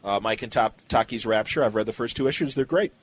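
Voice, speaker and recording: A man's voice: mid-range voice, fast talker, slightly rough. A man hosting a podcast in a casual, agreeable interview style. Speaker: podcast host.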